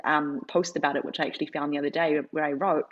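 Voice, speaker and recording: A woman's voice: low-pitched, little pitch movement, fast talker, muffled recording. A woman, telling her story casually as an interview guest, with lots of "like" fillers. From New Zealand. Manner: chatty, filler-heavy, lots of "like".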